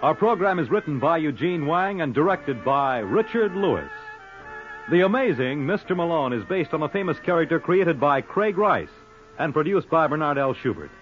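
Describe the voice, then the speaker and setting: Male announcer, American, 1940s radio credits, animated intonation, muffled old recording. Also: fairly high pitch, moderate pace, faint music behind.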